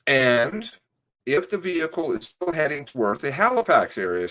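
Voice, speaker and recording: A man reads an interview transcript aloud at a slow, halting pace. His mid-range voice moves up and down in an animated way, and he breaks away from the text now and then to add asides. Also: medium pitch.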